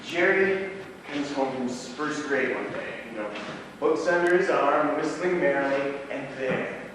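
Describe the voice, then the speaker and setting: An actor on stage playing a wry, rambling drug-culture housemate who tells tall stories haltingly, with dramatic swells. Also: male voice, fairly high pitch, close to an everyday voice. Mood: animated, sardonic. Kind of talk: live stage play acting. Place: stage of a small theatre. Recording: echoey theatre hall, distant mic, noisy.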